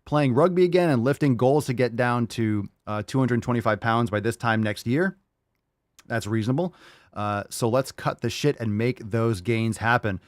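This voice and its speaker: A man's voice: mid-range voice, moderate pace, no room sound, clean studio sound. Hyped, foul-mouthed podcast host man. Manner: hyped, profane, encouraging. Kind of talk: casual podcast chat reading listener posts.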